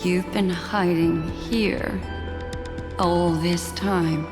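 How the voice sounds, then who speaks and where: A woman's voice: fairly low pitch, fairly even intonation, extremely slow. A woman acting an elegant, self-assured captive in a video game, poised and wryly teasing as she probes. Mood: puzzled, poised. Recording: long reverb, music behind. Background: music.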